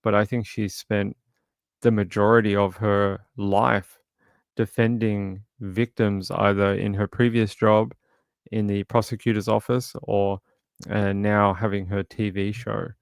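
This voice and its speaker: A man hosting a true crime livestream, talking casually and reading chat, his voice crackly and gravelly, halting with fillers and restarts. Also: on the low side, level tone, no echo.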